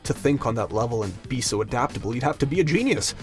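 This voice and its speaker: A man's voice: mid-range voice, lively intonation, fast talker, soft background music, natural voice. A man playing a level-headed, frank young man in an audio drama, explaining things reasonably. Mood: agreeing.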